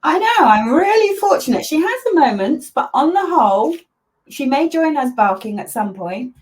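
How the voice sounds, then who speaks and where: A woman's voice: high-pitched, big pitch swings, moderate pace. An older woman and grandmother from Malaysia, a parenting coach talking chattily with many fillers as an interview guest. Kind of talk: casual interview over a video call.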